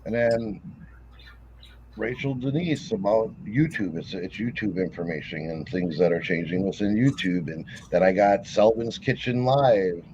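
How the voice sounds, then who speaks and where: A man co-hosting a livestream in relaxed, rambling casual chat. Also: on the low side, unhurried, highs cut off, slight background noise. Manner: rambling, laid-back, trailing off. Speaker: co-host.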